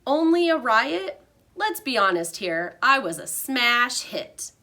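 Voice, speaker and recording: Woman voicing Humphrey, a bright, excitable little classroom pet. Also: fairly high pitch, takes it slowly, pitched above the performer's own voice, exaggerated voice. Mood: proud. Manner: exclamatory, self-admiring. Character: Humphrey, a small proud, eager classroom pet.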